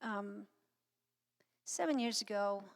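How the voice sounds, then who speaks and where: A middle-aged woman from Croatia with a Croatian accent, giving an informal inspirational talk with halting, anecdotal storytelling. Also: mid-range voice, gravelly. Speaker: guest speaker and singer. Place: church sanctuary, speaking to an audience. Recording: long hall reverb.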